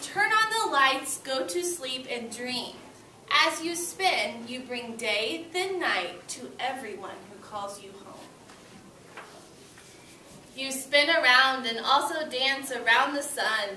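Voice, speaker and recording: A young woman with a high voice talks to young children as their teacher. She is expressive and encouraging, phrasing things for little listeners. The sound is roomy and noisy, picked up from across a classroom. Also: recorded from a distance. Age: young adult. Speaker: elementary school teacher. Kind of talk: interactive read-aloud to young children. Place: classroom with children seated on the floor. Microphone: far camera.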